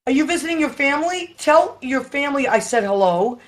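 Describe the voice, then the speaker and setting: A hoarse woman in her fifties hosting a casual live chat from home, rambling and tired, talking into a webcam. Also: high-pitched, animated, fairly quick. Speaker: livestream host. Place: home room with Christmas tree.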